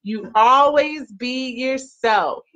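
A woman in her thirties, a mother reading and chatting for a children's story time in an animated, encouraging way, recorded on a webcam in a living room. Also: high-pitched, a crowd in the background. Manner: animated, encouraging call-and-repeat delivery with drawn-out phrases. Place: small living room, on a couch. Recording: slightly dull home webcam audio.

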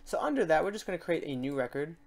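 A software tutorial host with a voice of middling pitch. The delivery is casual and unscripted, explaining each step while working and thinking aloud along the way. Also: sing-song, fast talker, creaky, a little hiss or hum.

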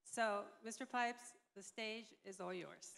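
A woman's voice: medium pitch, a little slow, gravelly, fairly bright tone. A woman presents an award formally from a theatre stage, speaking as the ceremony's host. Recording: reverberant hall, faint noise.

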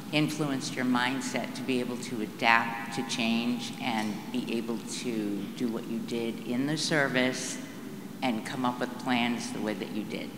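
An audience member asks a question politely and a little haltingly. The voice carries through a large hall with a long reverb tail. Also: mid-range voice. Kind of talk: question from the floor. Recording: echoey hall with background noise.

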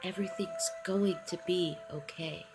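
A woman narrating a scripted guided meditation in a soothing, encouraging manner, with short phrases and long pauses. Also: fairly low pitch, steady tone, rough recording. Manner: encouraging affirmations. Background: background music.

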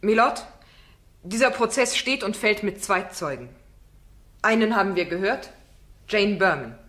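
A female barrister in a courtroom radio play. She is composed and formal, with measured questioning and an average pitch. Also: animated, a bit bright. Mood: formal, solemn.

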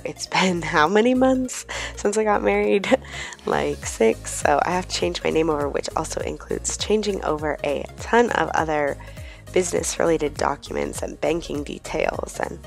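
A woman vlogger, hoarse from a cold, chatting casually in a rambling voice-over with many ums. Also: deep voice. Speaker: planner vlogger.

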